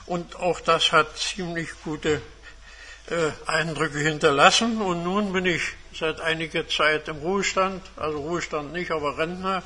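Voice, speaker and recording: Bright, high-pitched man of seventy-five. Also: steady tone, unhurried. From Germany. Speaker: guest speaker, retired engineer and academic. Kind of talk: autobiographical talk to a university seminar. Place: seminar room.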